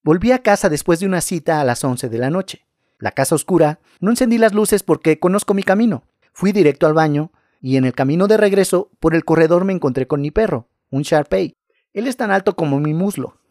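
A man reading scary first-person stories aloud in a calm, measured narration. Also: on the high side, no echo, studio quality.

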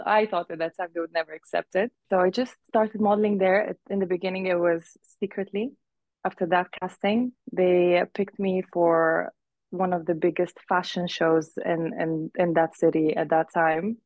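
A woman's voice: on the low side, dry recording. A young woman from Uzbekistan, a fashion model guest, telling stories in a casual interview and laughing often as she talks. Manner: chatty storyteller who laughs often and acts out quoted voices.

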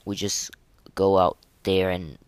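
A man hosting a casual, unscripted gaming podcast, speaking haltingly and often repeating and restarting his phrases. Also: deep voice, level tone, smooth, dry recording. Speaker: podcast host.

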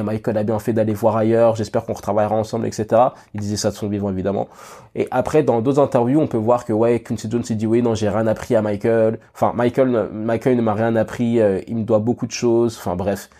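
A man's voice: fairly low pitch, warm tone. A man with a cold hosting a casual solo podcast, thinking aloud with many fillers and restarts and talking straight to the listener. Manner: addresses listener directly with "tu vois". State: has a cold, sniffling. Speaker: podcast host.

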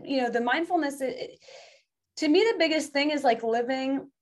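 A woman's voice: high-pitched, normal speed. A woman whose first language is French, speaking English as a podcast guest and talking in an earnest, rambling way with many restarts. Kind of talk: casual interview chat.

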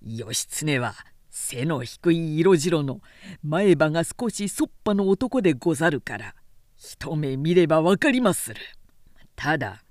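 A woman's voice: deep voice, sing-song, measured pace, a little mellow, dry recording, clean studio sound, pitched below the performer's own voice. A woman playing a sly male samurai retainer in a storybook, mocking and knowing in politely archaic speech. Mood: mocking, wry.

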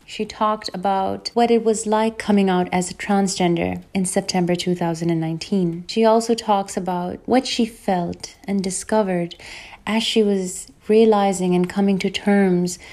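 Woman radio host, warm dark tone, measured scripted read. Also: medium pitch. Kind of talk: scripted show introduction.

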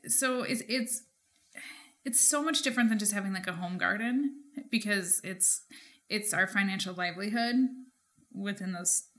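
A woman's voice: medium pitch, moderate pace, fairly bright tone. Casual American woman chatting informally. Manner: informal, frequent "like" as filler. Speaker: podcast co-host and market gardener. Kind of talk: casual conversational chat.

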